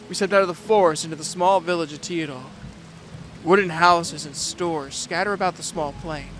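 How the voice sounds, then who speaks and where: Audio drama lead: dutiful young prince and heir played by a man, reserved and measured, narrating his own tale over music. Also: on the high side, lightly acted. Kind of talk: first-person audio drama narration with dialogue. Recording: music and rain behind the voice. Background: music and rain.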